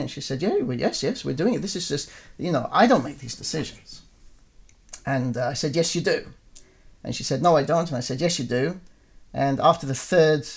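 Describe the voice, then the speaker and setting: A man in his forties speaks in a gravelly, slightly rough voice at a middle pitch. His talk is relaxed and reflective, full of fillers and restarts as he thinks aloud. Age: 40s. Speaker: interviewee, performer. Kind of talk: reflective conversational interview.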